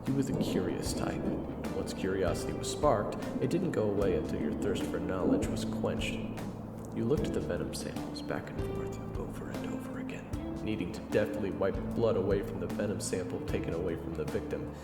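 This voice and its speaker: A man playing a solemn storyteller, giving measured, scripted second-person narration for a fantasy audio drama. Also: deep voice, lively intonation, reverberant, long reverb tail, over loud music. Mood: solemn, intent. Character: solemn storyteller recounting a dark fantasy past.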